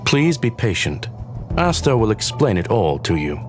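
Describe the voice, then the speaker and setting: An actor playing a calm, courteous robotic gentleman in a video game, speaking in formal, measured statements. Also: lowish voice. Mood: calm, analytical. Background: background music.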